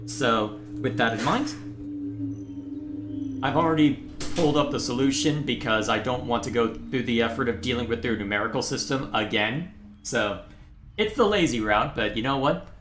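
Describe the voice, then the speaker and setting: A man's voice: medium pitch, moderate pace, a bit bright, roomy sound, faint music behind. A man from Texas hosting a live game stream, thinking aloud in a casual, musing way. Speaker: livestream host playing a game. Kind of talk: casual live gameplay commentary.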